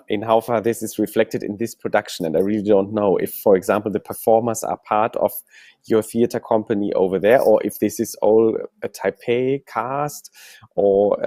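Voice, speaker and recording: Deep-voiced man moderating. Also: dark tone, no echo. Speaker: forum moderator. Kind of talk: panel discussion questions.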